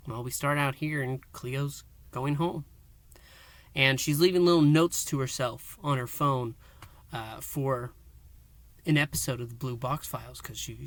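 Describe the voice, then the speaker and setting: A man hosting a fan review and recap, speaking in short, halting phrases with theatrical emphasis. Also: medium pitch, fairly bright tone, no room sound. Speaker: host of a fan review show. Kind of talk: review and recap to camera, semi-dramatic storytelling.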